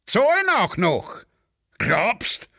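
Ein Mann spielt einen prahlerischen, plaudernden Offizier mit österreichischem Akzent. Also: male voice, sits high, huge pitch swings, extremely slow, pushed and bright, breathy edge, no room sound, studio quality, voice pushed up, heavily acted. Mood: jovial boasting. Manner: chatty, bragging, dialect-heavy. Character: boastful Austrian army officer, gossiping.

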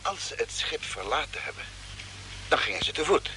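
An actor playing a blunt, logical astronaut crewmate with a matter-of-fact manner in a Dutch radio play. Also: male voice, mid-range voice, big pitch swings, moderate pace, sharp tone. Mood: deductive. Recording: noisy old radio drama recording.

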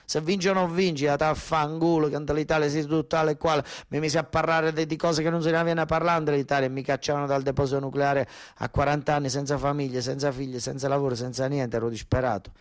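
An actor playing a desperate working-class southern Italian son and ex-soldier, in a colloquial, emphatic theatrical monologue with a southern Italian accent. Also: male voice, a little high, little pitch movement, fast, close to an everyday voice. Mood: bitter, indignant.

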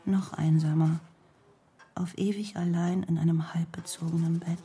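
World-weary actress, very husky and breathy, creaky, low, fragmented deliberate phrasing, radio drama. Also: female voice, level tone, warm tone. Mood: brooding, melancholy. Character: melancholy, world-weary actress, wry and brooding. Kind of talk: literary radio drama monologue and dialogue.

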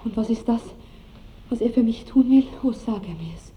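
A woman's voice: on the high side, animated, moderate pace, close to an everyday voice. Woman playing a shy, smitten young bride. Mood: wondering. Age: young adult. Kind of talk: 1960s radio drama acting in verse. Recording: dull old radio recording, faint hiss.

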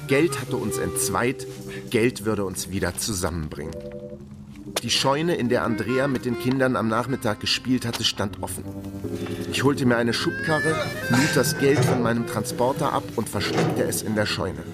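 A man's voice: mid-range voice, moderate pace, loud music behind the voice, close to an everyday voice. A man playing a brooding, self-mocking detective who narrates a crime radio drama in a laconic way. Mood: brooding, matter-of-fact. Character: wry, brooding down-on-his-luck male detective.

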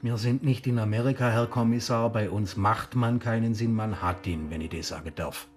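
An actor playing a polite, pedantic antiquarian with a formal way of speaking in a radio play. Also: male voice, lowish voice, normal speed, soft background music, lightly acted. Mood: correcting. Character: pedantic, polite antiquarian, dealer in old books.

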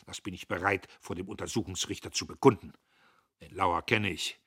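A man voicing a gruff, bullying retired army major who barks and thunders. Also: a little high, animated, normal speed, bright, forceful tone, no room sound, studio quality, voice pushed up, heavily acted. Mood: angry. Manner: barking, thundering.

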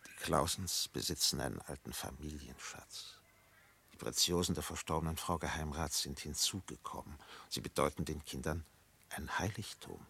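An actor playing a solemn, probing old village pastor in a radio play, with a gravelly, husky voice and a measured, formal, unctuous manner. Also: male voice, rumbling low, sharp tone, full character voice. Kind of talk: German radio play acting.

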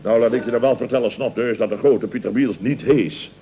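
An actor playing a pompous, easily flustered middle-aged contractor, blustering and stammering in a radio comedy serial. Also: male voice, mid-range voice, brisk, natural voice. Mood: indignant bluster. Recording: muffled old radio recording.

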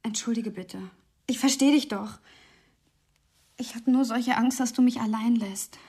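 Clinging partner, needy, apologetic, breathy higher voice, radio drama. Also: female voice, measured pace, a little mellow. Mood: apologetic, fearful. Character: anxious, clinging, jealous partner, afraid of being left.

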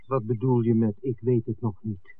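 An actor playing an impatient, pragmatic film maker in a 1960s radio drama, blunt and insistent. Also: male voice, medium pitch, flat delivery, moderate pace, natural voice. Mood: exasperated, persuasive. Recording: tinny, noisy 1960s radio recording.

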